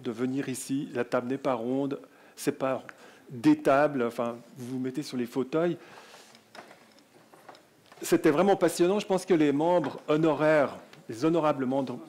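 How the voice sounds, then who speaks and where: Swiss French panel moderator, jovial. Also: male voice, medium pitch, darkish tone. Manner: jovial, hesitant with many fillers. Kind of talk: introducing panelists. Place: conference hall.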